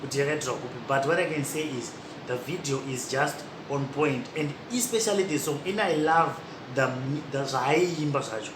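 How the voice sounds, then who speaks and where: A man's voice: mid-range voice, normal speed. A man hosting a podcast, giving chatty, rambling commentary and laughing at himself now and then. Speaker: podcast host. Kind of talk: casual commentary mixing Shona and English. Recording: echoey room with noisy background.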